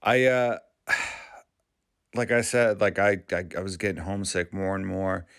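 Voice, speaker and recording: Low gravelly-voiced man rambling casually. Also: little pitch movement, a bit bright, no echo, clean studio sound. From Maine, USA. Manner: rambling, halting, frequent restarts. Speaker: YouTube channel host. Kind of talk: casual vlog commentary.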